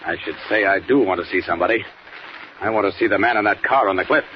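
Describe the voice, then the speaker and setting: A man's voice: on the low side, quick pace, natural voice. Superhero lead , male actor: authoritative, fearless, vintage radio drama delivery, muffled hissy sound. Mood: determined. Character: bold, commanding male superhero. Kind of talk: 1940s radio serial acting. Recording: muffled, noisy 1940s radio recording.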